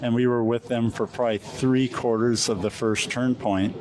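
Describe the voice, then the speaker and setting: An American man in a casual interview as a pilot, recounting things in a halting way with frequent pauses mid-phrase. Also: mid-range voice, steady tone, a little mellow. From Alaska, USA. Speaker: competition glider pilot being interviewed. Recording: crowd chatter in the background.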